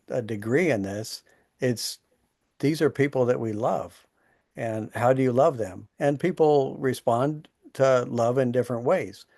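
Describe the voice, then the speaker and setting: A man speaking at an online town hall, explaining disaster resiliency in a relaxed, plainspoken way. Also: mid-range voice, clean studio sound. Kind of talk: casual conversational explaining.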